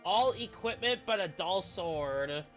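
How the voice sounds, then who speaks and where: A man's voice: high-pitched, animated, slow, a bit bright, faint music behind. A man streaming a game, reading its story aloud with dramatic narration and casual commentary.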